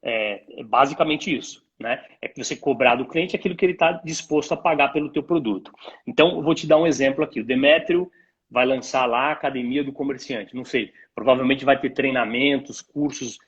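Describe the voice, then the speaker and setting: A man with a Brazilian accent and a husky voice, explaining things conversationally as an expert guest, in a didactic way with rhetorical questions, on dull lo-fi call audio. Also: mid-range voice, fairly quick, fairly bright tone. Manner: didactic, illustrates points with imagined dialogues and rhetorical questions. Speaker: guest retail pricing expert. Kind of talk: conversational explanation to a live stream host.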